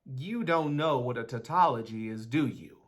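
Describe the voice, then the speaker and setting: A woman's voice: extremely low pitch, expressive, normal speed. A woman playing a brainy, awkward schoolboy in a dramatic reading, speaking formally and pedantically. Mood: challenging, smug. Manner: pedantic, precise, formal wording.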